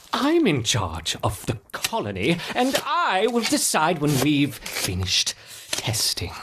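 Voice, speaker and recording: A man's voice: lowish voice, noisy background. A man playing an eccentric, stubborn scientist in a video game, theatrical and huffy, muttering as he dotes on his ants. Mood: indignant, muttering. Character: eccentric, stubborn male scientist doting on his ant colony. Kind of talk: acted video game dialogue.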